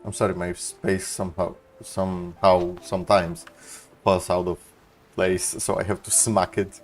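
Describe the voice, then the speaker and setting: Casting: young male streamer, deep voice, sarcastic offhand game commentary with swearing, faint music behind. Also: level tone, a little slow. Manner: sarcastic, slangy. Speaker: gaming streamer. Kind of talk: casual playthrough commentary, swearing.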